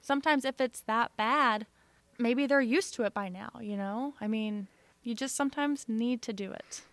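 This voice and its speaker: An easygoing woman co-hosting a podcast, her voice a touch higher than most. The sound is dry and close, with no room around it. She chats casually and agrees along with her partner. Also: moderate pace, fairly bright tone, no echo. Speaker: podcast co-host. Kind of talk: casual chat.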